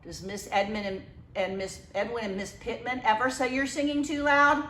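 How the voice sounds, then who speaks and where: A woman who is a school principal reading a picture book aloud to young children, expressively and with chatty asides. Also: a little high. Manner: expressive, drawn-out delivery addressed to young children. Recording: echoey, roomy sound.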